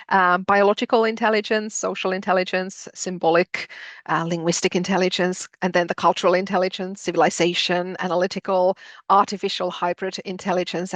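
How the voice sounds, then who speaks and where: Finnish lady presenting a webinar, low gravelly voice, dry close sound, lots of ums. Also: a bit bright, no echo. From Finland. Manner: hesitant, many ums and restarts.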